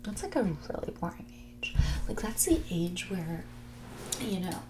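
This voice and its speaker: A woman playing a mean, condescending rich young woman on a first date in a whispered, soft-spoken ASMR roleplay, with a bored drawl. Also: on the low side, sing-song, a little slow, background noise. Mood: bored disdain. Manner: condescending, drawling, bored, valley-girl filler "like".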